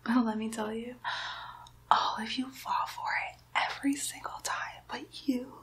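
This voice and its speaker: A mischievous young demon girl played by a woman poses as a nurse in an ASMR roleplay. She whispers in a high, bright voice, coaxing and teasing with playful baby talk, and takes her time over the words. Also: fairly even intonation, unhurried. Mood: gleeful, mocking.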